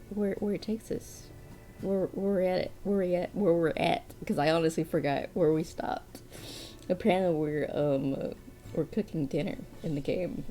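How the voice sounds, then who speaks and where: A woman's voice: lowish voice, warm tone. A young adult woman casually commentating a game, rambling and laughing often, a bit sniffly from allergies. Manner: rambling, laughs often, restarts her words. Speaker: game streamer. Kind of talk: casual let's play commentary.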